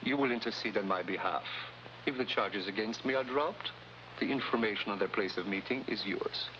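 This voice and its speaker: An actor playing a sly, courtly, self-serving informant, mock-polite and theatrical, in a vintage TV adventure. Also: male voice, on the low side, brisk, bright, forceful tone. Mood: oily, genial. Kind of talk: 1950s TV adventure acting. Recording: muffled old TV soundtrack with noise.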